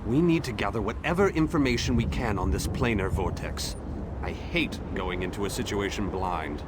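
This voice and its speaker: An actor playing a theatrical, genial and self-important mage leader in a fantasy video game. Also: male voice, fairly low pitch, lively intonation, normal speed, close to an everyday voice. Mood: businesslike. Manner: grandiloquent, theatrical. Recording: long hall reverb, faint noise.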